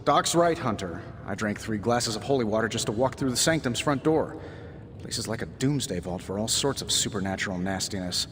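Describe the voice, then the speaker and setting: An actor with an American accent playing a cocky, middle-aged superhero in a video game, glib and sarcastic. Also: male voice, on the low side, expressive, a little fast, a bit bright, a little hiss or hum. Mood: glib, brisk. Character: cocky, wisecracking inventor superhero man. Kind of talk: video game cutscene acting. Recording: long hall reverb under music.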